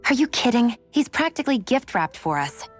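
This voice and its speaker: A woman's voice: a little high, very animated, brisk, faint music behind. An actress playing a proud, blunt young woman fighter in a fantasy anime game, curt and matter-of-fact. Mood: dry, impatient. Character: cool, blunt young female sharpshooter, proud and condescending. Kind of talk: English-dubbed anime game voice acting.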